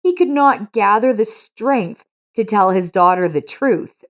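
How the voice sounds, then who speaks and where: A woman's voice: medium pitch, lively intonation, a little slow. A woman reading a scripted story as its narrator.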